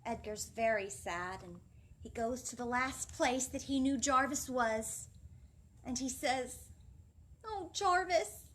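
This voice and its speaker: A woman hosting a children's storytime, expressive and sing-song, speaking directly to young listeners. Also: fairly high pitch, unhurried, slight background noise. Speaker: children's librarian, storytime host. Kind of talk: animated picture-book reading and instruction for young children. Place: library room, seated indoors.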